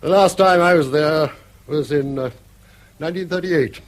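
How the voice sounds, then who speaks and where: A man's voice: on the high side, normal speed, natural voice. An actor playing a bankrupt, argumentative old grandfather in a British radio drama, blustering and sardonic as he needles his family. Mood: boisterous, provoking. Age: older.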